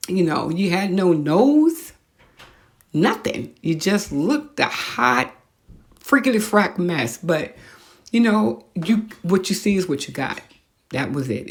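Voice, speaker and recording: An American woman with a fairly low voice delivers a casual podcast monologue. She is animated and opinionated, using blunt, slangy phrasing as she speaks straight to her listeners. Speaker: podcast host.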